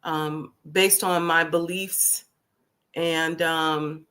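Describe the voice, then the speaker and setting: A woman hosting a casual talk stream, her voice deepened by a cold, talking slowly with many pauses and ums. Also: steady tone, sharp tone. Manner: slow, drawn-out, many ums. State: deep voice from a cold. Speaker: host.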